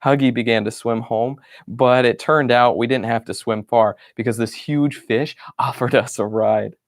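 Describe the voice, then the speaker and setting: A man's voice: mid-range voice, fairly even intonation, normal speed, no echo, studio quality, lightly acted. A man voicing a cheerful, happy-go-lucky wooden stick who reads his diary with bubbly enthusiasm.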